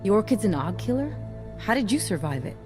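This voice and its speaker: An actress playing a streetwise hacker ally in a video game, talking in a casual, blunt, slangy way with real concern. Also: female voice, medium pitch, very animated, fairly quick, lightly acted. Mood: blunt, amazed. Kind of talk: video game cutscene acting. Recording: music under the voice.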